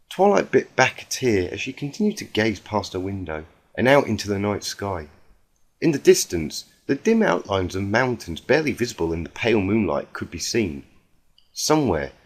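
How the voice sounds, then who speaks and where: A man with a husky voice reading a story aloud in a dramatic audiobook style. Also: lowish voice. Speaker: fan fiction reader.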